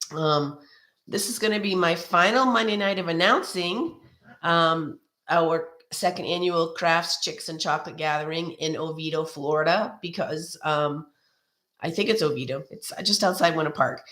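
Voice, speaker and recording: Hoarse woman chatting in a roomy space. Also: on the low side, fairly bright tone. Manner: rambling and friendly, many ums and restarts, greets viewers by name. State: hoarse from a cold. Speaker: card-making demonstrator hosting a live stream. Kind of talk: chatty live craft tutorial. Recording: roomy echo.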